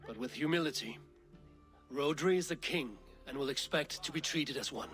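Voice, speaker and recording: Man playing a steady, stern Viking leader. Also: fairly high pitch, moderate pace, natural voice. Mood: grave counsel. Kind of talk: video game character acting. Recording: music behind the voice.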